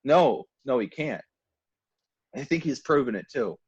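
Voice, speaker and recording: A man's voice: medium pitch, expressive, measured pace, darkish tone, slightly rough, dry recording, highs cut off. A man in his twenties in casual chat, stammering and mostly agreeing. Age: 20s. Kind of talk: casual podcast banter.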